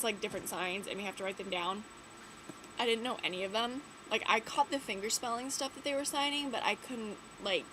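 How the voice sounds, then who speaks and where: Teen girl vlogger, stuffy from a cold, rambling filler-heavy chat, mid pitch, noisy room. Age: late teens. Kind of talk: casual chat to camera. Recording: audible background noise.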